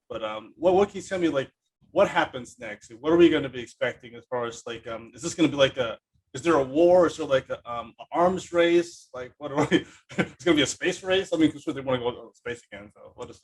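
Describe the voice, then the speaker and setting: Husky-voiced man hosting a chat. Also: medium pitch, animated, fast talker, poor audio. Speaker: podcast host, interviewer. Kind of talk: casual interview chat.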